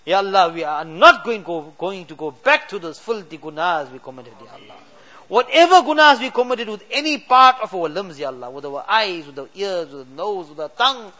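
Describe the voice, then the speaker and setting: A man's voice: high voice, bright, forceful tone. A man leading a fervent late-night supplication as an imam, pleading with constant repeated refrains. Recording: dull lo-fi recording.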